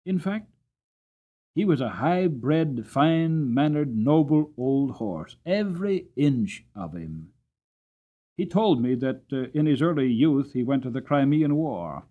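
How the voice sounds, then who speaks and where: A man narrates a storybook with theatrical expression. His pitch swings widely from phrase to phrase, and the recording is a little dull and lo-fi. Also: expressive, takes it slowly. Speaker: audiobook reader.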